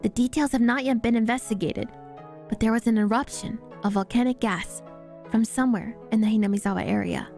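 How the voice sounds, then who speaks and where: A woman's voice: fairly high pitch, brisk, gravelly, mellow, soft background music. A woman VTuber reading scripted story narration aloud in a dramatic readalong style.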